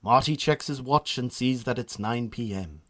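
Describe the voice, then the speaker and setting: A man's voice: mid-range voice, moderate pace, a bit bright. A man with a Northern Irish accent reading scripted narration as the narrator of a story.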